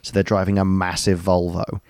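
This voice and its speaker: Deep-voiced man telling stories casually. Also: completely flat delivery, moderate pace, darkish tone, dry recording, clean studio sound. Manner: rambling storyteller, lots of ums, jokey asides. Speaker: podcast co-host. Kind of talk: casual chat.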